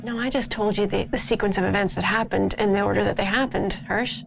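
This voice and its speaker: Woman acting a gravelly, wary team boss. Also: medium pitch, steady tone, fast talker, noisy background, close to an everyday voice. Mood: firm, impatient. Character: wary, world-weary female survey team boss. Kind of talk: in-character tabletop roleplay.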